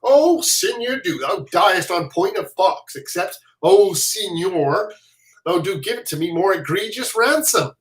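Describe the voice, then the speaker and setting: A single reader voicing a blustering, boastful soldier who shouts bullying threats while reading a play aloud. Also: mid-range voice, expressive, a little slow, lightly acted. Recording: roomy echo.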